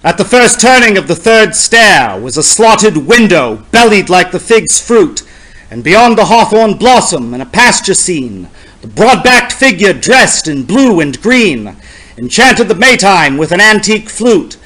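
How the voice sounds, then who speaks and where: A man giving a dramatic poetry reading in a solemn, deliberate, theatrical and incantatory manner. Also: sits high, very animated, a bit bright, low-quality recording. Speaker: poetry reader.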